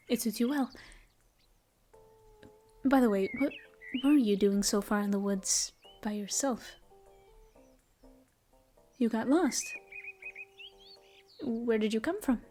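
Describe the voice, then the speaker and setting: A kind, shy female elf ranger tends to someone in her woodland cabin, her voice slightly high and soft, slipping often into a whisper, as a woman acts her out. Faint music sits behind her words in this fantasy audio drama. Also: lively intonation, slow pace, pauses often. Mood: soothing. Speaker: voice actress. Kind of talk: audio roleplay, comfort ASMR.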